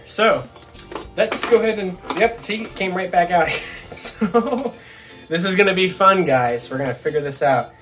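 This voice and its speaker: Young man, rambling casually. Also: sits high. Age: young adult. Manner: rambling, thinking aloud. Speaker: toy reviewer. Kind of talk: casual unboxing chat to camera. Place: small room at home, indoors.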